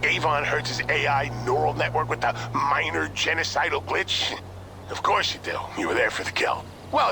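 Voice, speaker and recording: A sardonic federal agent handler. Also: male voice, mid-range voice, vocal fry, noisy background. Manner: dry, sarcastic briefing with bursts of urgent orders. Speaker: government agency handler giving mission orders. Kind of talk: video game cutscene and mission dialogue.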